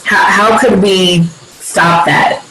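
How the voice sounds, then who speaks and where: A woman's voice: mid-range voice, fairly even intonation, extremely slow, pushed and bright, a little hiss or hum, poor audio. A woman hosting a group discussion, putting questions to the group in a hesitant way. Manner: hesitant, with fillers and restarts. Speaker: discussion host.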